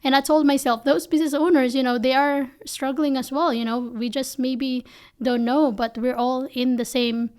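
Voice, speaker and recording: A woman from the Philippines with a Filipino accent talks earnestly in an interview, her voice sitting a little high. She speaks close to a desk podcast mic in a small office studio. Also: normal speed, clean tone. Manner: earnest and animated, frequent "you know". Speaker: guest, company founder. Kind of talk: candid interview answers. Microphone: desk podcast mic on boom arm.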